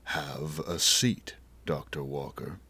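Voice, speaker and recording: A man's voice: extremely low pitch, slow pace, sharp tone, breathy edge, no echo, full character voice. An actor playing a firm, intimidating admiral in his fifties in an audio drama, terse and controlled. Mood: quietly intimidating.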